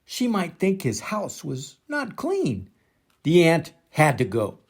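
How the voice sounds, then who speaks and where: An older man reads a children's picture book aloud in an expressive, dramatic way, drawing out words for emphasis. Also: on the high side, breathy edge. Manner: dramatic, deliberate, drawn-out emphasis. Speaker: storybook reader for children.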